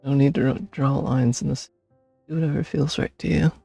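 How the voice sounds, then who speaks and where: A man with a medium-pitched, creaky voice comments casually on a game. His reactions are informal and offhand, recorded close and dry with faint music underneath. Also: steady tone, moderate pace, mellow, dry recording. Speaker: game streamer.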